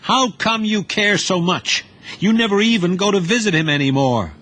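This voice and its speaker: A man voicing a brash, scheming teenage boy in an audio drama, raising his voice high and pushy. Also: normal speed, sharp tone. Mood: defiant.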